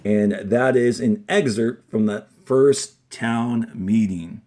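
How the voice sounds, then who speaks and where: A man reading aloud and instructing as a fourth-grade teacher, with deliberate phrasing and dramatic pauses. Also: medium pitch, dark tone. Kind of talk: expressive classroom read-aloud and instruction.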